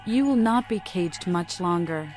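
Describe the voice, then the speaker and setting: A woman's voice: on the low side, animated, unhurried, a little mellow, soft background music. A woman voicing a mad female vampire in a video game, solemn and eerie, speaking in cryptic declamations. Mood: cryptic, commanding. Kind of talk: fan voice-over of a video game protagonist.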